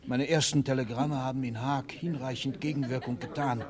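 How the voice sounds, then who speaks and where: A man plays a powerful, combative father in a 1960s German radio play. His mid-pitched voice is emphatic. He sounds like a statesman used to fighting. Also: steady tone, a little fast, lightly acted. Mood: agitated, triumphant.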